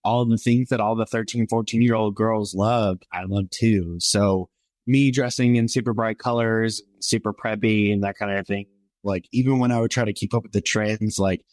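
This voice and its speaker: A man's voice: steady tone, moderate pace, darkish tone, no room sound. A man in his twenties, a podcast guest, telling his personal story in a rambling, earnest way full of fillers. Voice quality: somewhat high voice for a man.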